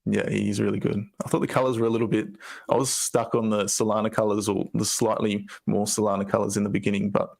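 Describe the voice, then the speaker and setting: A man with a croaky voice, casually presenting a team update with loose, rambling phrasing. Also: lowish voice, little pitch movement, fast, hardly any pauses, darkish tone. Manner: loose, rambling, with fillers and restarts.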